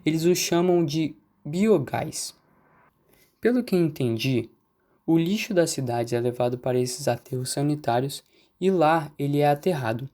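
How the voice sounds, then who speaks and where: A man's voice: fairly high pitch, dark tone, natural voice. A man with a Brazilian accent playing a studious, curious student who explains things like a school presentation in an amateur radio play. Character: studious, curious male student answering teacher. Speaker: student in class.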